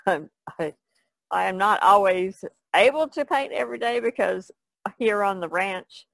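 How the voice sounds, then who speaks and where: An American woman chats casually as a podcast co-host. She speaks slowly with a gravelly creak in her voice, and the sound is dry. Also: medium pitch, lively intonation, no room sound. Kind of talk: casual chat.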